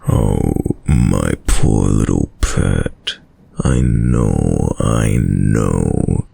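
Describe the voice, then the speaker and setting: Very deep, gravelly vampire lord. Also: male voice, dark tone, no echo, full character voice. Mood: condescending, dominant. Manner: slow, commanding, condescendingly tender, often dropping to whispers. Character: sadistic, dominant male vampire lord, silkily menacing. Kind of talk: intimate audio roleplay monologue to the listener. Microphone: close, dry studio mic.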